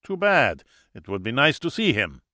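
A man's voice: medium pitch, huge pitch swings, normal speed, sharp tone, dry recording, studio quality. A man voicing a big, jovial older German expatriate in an audiobook, with a German accent and falsely gallant manners. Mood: smooth, hospitable. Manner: hearty, effusive, falsely courteous.

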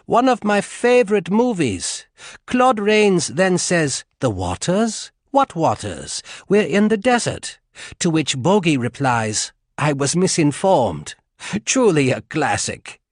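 A man's voice: on the high side, sing-song, slow, no echo, studio quality, pitched above the performer's own voice, full character voice. Man doing a suave interrogator with a British tinge. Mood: suave, probing. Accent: slight British. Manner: smooth, polite, menacing. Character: cultured middle-aged male military interrogator.

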